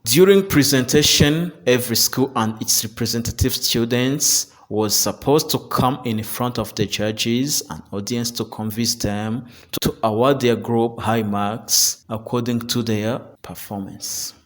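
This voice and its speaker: A man's voice: medium pitch, unhurried, hardly any pauses. Man reporting the news. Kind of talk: scripted news report narration.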